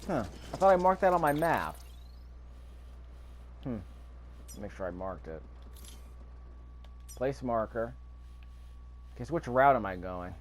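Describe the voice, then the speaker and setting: A man's voice: mid-range voice, sing-song, unhurried, long pauses, a little hiss or hum. A man giving casual gameplay commentary, thinking aloud with mild grumbling and rhetorical questions. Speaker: video game player narrating a playthrough.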